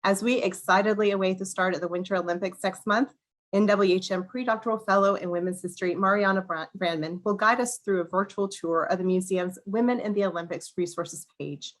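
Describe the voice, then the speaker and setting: A woman with a slightly crackly, hoarse voice hosting an online museum program, reading a welcome and introducing a guest, often clearing her throat and restarting words. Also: medium pitch, steady tone, a little fast. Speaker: museum education director hosting a webinar. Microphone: video call.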